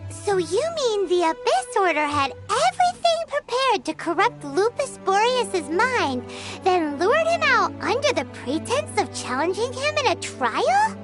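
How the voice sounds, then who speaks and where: A woman voicing a tiny, chirpy female fairy companion in a video game, with a high, bubbly voice. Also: sing-song, measured pace, heavily acted. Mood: curious. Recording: game audio with loud music.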